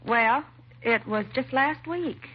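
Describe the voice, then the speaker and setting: An American actress playing a timid, self-doubting young secretary in a 1950s radio drama, hesitant and apologetic. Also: female voice, high-pitched, takes it slowly, breathy edge, full character voice. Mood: earnest, hesitant. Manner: hesitant, nervous, apologetic, trailing and restarting. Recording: muffled vintage radio recording, faint hiss.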